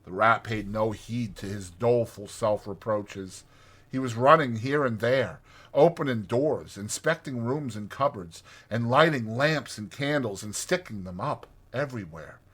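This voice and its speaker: Man, audiobook narrator, medium pitch, very bright crisp-edged tone, scripted storytelling. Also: a little slow.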